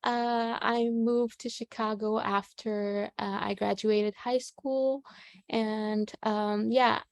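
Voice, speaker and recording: Young female guest from Egypt, slightly gravelly, dry close sound, hesitant casual answers. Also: on the high side, slow, no echo. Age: young adult. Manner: relaxed, many fillers like uh and um. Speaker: guest, classical singer.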